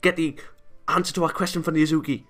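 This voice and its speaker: A man giving off-the-cuff let's play commentary, excitable and stumbling over his words. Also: a little high, fast talker, soft background music. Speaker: let's play host reading a visual novel. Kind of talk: off-the-cuff gameplay commentary mixed with read-aloud.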